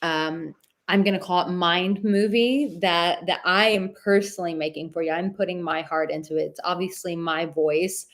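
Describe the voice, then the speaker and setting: A woman with a slightly low, soothing voice hosts a live advice stream. She chats warmly and affectionately with viewers, reading out their comments with lots of casual filler words. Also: steady tone, moderate pace. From Czech heritage.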